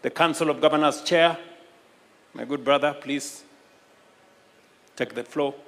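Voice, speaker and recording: Man, forum chair, slow measured speech, echoing hall sound. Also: a little high, a bit bright. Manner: measured, deliberate, with fillers. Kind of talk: moderating a public forum. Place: large conference hall. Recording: long hall reverb.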